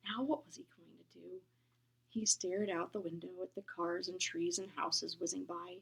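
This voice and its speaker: A woman reading a children's book aloud, gentle and expressive, often dropping into a whisper. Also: on the low side, normal speed, creaky, slight background noise. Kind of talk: storybook read-aloud.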